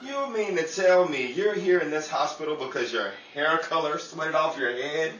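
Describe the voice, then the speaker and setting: A man's voice: a little high, expressive, moderate pace, fairly bright tone, a faint crowd. A man playing an amused, concerned friend in a 1930s-set comedic radio play, stammering and exclamatory. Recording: echoey, distant mic, faint noise.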